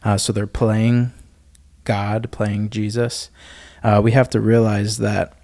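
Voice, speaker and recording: A young man with a fairly low, very even voice that barely rises or falls. He speaks as a podcast co-host in casual discussion, recorded very dry with no room sound. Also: takes it slowly, vocal fry, dry recording.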